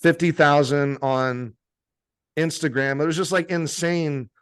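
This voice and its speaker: A man's voice: fairly high pitch, measured pace, clear, resonant tone. A young adult man, a meditation teacher and guest, chatting casually with lots of "like" and swearing. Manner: casual, heavy use of "like" and swearing. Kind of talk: casual interview chat.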